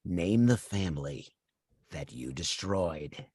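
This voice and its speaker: A man acts out a menacing slasher villain on a threatening phone call. The voice is very low and rough with husk, and it moves slowly and deliberately. It taunts with sinister control, recorded close and dry. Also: expressive, a little mellow, no room sound, full character voice. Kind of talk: slasher film table read.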